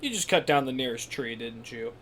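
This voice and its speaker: Gaming streamer, male: casual, wry reactions in a mid-range voice with animated swings. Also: mid-range voice, a little fast, noisy background. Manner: wry, joking asides. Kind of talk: casual let's play commentary.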